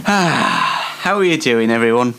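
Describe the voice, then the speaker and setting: A man's voice: mid-range voice, lively intonation, measured pace, creaky, sharp tone, soft background music. A twenty-year-old man hosting his own show in a rambling, self-mocking, comedic chat with playful theatrics. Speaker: host of a YouTube show. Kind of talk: chatty comedic monologue.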